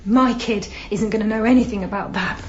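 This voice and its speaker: A woman's voice: mid-range voice, a little fast, reverberant, lo-fi sound, lightly acted. An actress playing a frightened but unyielding young pregnant girlfriend in a naturalistic radio drama. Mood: scared, firm. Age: young adult. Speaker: actor in a radio play.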